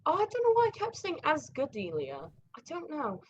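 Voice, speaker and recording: A woman running a tabletop game as game master, narrating casually in halting, improvised, informal descriptions. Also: very high-pitched, sing-song, darkish tone. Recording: dull lo-fi audio.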